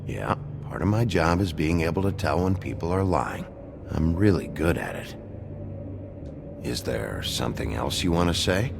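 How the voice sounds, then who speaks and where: Game casting: hard-bitten, fair-minded sheriff, extremely low gravel, terse and dry, music underneath. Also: male voice, very animated, normal speed, full character voice. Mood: firm. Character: gruff, blunt male sheriff, tough but fair. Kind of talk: video game voice acting.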